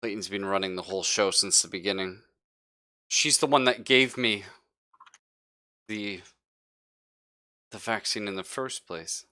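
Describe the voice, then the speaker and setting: Man acting a desperate, guilty crewman, tense and halting. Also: lowish voice, bright, forceful tone, no room sound, studio quality. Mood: shaken, confessing. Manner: halting, defensive confessions. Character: desperate, guilty male crew member, gasping and pleading.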